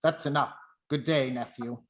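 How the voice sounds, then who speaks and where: Someone doing a grumbly old miser for a radio play, mid pitched, scornful and short. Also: steady tone, normal speed, close to an everyday voice. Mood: stern, scornful.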